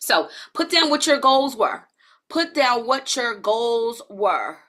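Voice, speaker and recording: Woman in her forties, kinda high voice, chatting to her livestream viewers, relaxed and slangy. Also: takes it slowly. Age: 40s. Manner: chatty, talks straight to viewers in chat. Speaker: health coach. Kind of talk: live-streamed coaching talk.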